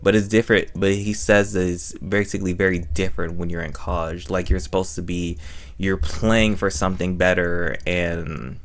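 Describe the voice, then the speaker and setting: Deep, gravelly-voiced man recapping casually. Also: fairly even intonation, normal speed, slight background noise. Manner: emotive, slangy, repeats phrases for emphasis. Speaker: host reviewing an audio drama. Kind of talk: casual, rambling recap and reaction.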